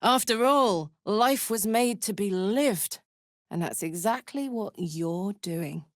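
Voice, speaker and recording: A brash, big-hearted warrior woman for a fantasy video game. She is blunt, salty and earnest, speaking at an unhurried pace in a mid-range voice. The sound is dry, with no room on it. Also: medium pitch, lively intonation, dry recording. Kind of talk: video game character voice lines.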